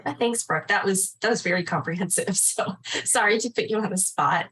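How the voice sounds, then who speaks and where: A woman's voice: mid-range voice, fairly quick, a bit bright, slightly rough. A woman hosting an informal event, speaking casually and off the cuff with hesitant, loosely phrased sentences. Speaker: event facilitator and host. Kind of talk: casual unscripted hosting of a literary launch.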